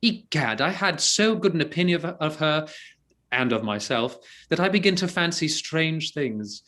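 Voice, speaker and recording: An actor playing a witty, scheming gentleman in disguise, with an arch, theatrical delivery. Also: fairly high pitch, lively intonation, normal speed. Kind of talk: stage-play reading.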